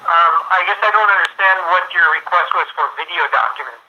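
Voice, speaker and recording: Brisk, sharp-voiced man on a phone line. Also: on the high side, a little hiss or hum. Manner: matter-of-fact, official. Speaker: police chief. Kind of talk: answering questions in phone interview. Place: telephone call. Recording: band-limited telephone line.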